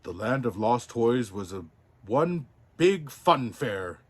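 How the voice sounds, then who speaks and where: A man narrating a children's storybook as Santa, in an expressive, deliberate way. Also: mid-range voice, slightly rough.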